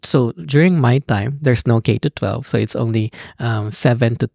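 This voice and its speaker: A young Filipino man, a PhD student, speaking as a podcast guest with a Filipino accent and an animated storytelling manner. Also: medium pitch, moderate pace, creaky, no room sound, studio quality. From Philippines. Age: young adult. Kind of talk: conversational interview.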